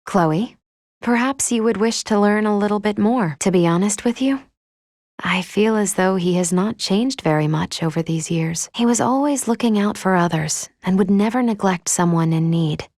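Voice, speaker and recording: An actress playing a polite, composed female android from a video game, gently caring like an elder sister, with formal, measured, precise phrasing. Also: mid-range voice, no room sound, clean studio sound, natural voice. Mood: calm, curious. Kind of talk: video game character acting.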